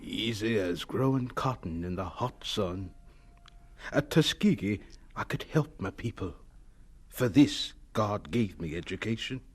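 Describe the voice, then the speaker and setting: An actor playing an earnest, folksy, good-humored agriculture professor in a radio drama. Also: male voice, on the low side, animated, a little slow, breathy edge. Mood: wry, reflective.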